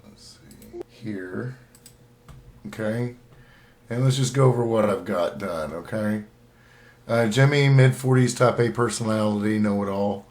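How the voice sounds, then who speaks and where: A man hosting a show in a casual, folksy way, thinking aloud in a halting, unscripted manner. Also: lowish voice, darkish tone. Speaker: host of a writing tips show.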